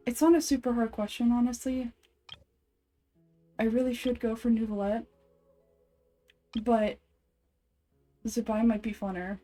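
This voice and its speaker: Mellow casual woman streaming a game. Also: fairly high pitch, fairly even intonation, a little slow, pauses often, soft background music. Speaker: game streamer and amateur voice actor. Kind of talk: casual gameplay chat.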